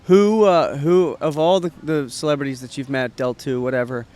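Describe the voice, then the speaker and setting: A young man from Baltimore hosts a relaxed podcast chat, his talk loose and packed with fillers. His voice sits at an ordinary middle pitch for a man. He sounds easygoing and conversational throughout. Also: normal speed. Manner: loose, filler-heavy, lots of "like" and "um". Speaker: podcast host. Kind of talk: casual interview chat.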